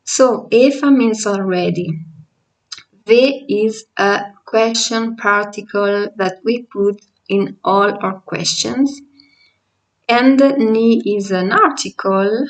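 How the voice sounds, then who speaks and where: A woman with an Italian accent, Italian being her first language, explains a language lesson at an unhurried, patient pace, pausing often with fillers. Her voice sits at a middle pitch and is picked up from a little way off the mic. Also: far from the mic, low-quality recording. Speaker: language teacher.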